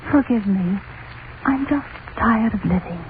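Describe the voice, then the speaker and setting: An actress voicing a weary young woman reading out her farewell letter in a quiet, resigned way in a 1930s radio play. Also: medium pitch, lively intonation, unhurried, lightly acted. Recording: tinny, hissy 1930s radio broadcast.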